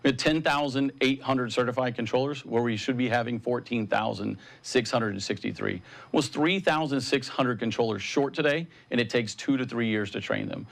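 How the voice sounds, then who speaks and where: A man's voice: medium pitch, normal speed, vocal fry. A man speaking as a spokesman, giving a formal statement in an interview.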